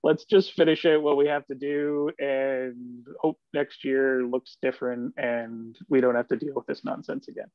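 A man's voice: mid-range voice, fairly even intonation, normal speed, hardly any pauses, dry recording. A man in his forties giving his opinion in a casual sports discussion over a video call. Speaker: sports columnist, podcast guest. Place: indoor home room, video call. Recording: dull lo-fi video call audio.

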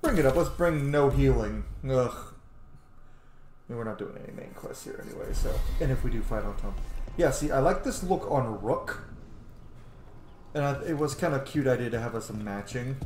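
A man's voice: medium pitch, animated, normal speed, a little mellow, soft background music. A man in his thirties thinking aloud in casual commentary while he plays a video game. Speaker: gaming streamer. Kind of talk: casual let's-play commentary. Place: indoor room at home. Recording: roomy sound with faint background noise.

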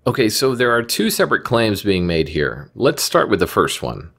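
Low-voiced man, methodical commentary. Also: big pitch swings, normal speed, creaky, fairly bright tone, soft background music. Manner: careful, methodical, reads scripture aloud. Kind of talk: measured scripted commentary.

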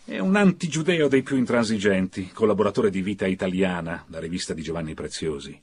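A man's voice: deep voice, expressive, brisk, breathy edge, heavily acted. An actor playing a cynical, defiant Fascist militiaman in a radio drama, vehement and conspiratorial. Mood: sneering, confiding.

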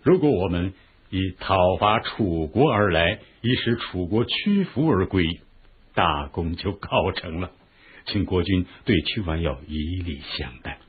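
A man's voice: deep voice, expressive, measured pace, husky, full character voice. An actor playing a wise, respectful chief minister counselling his lord in a Chinese historical radio drama, earnest and considered. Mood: earnest, advising. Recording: dull, lo-fi radio drama recording with music.

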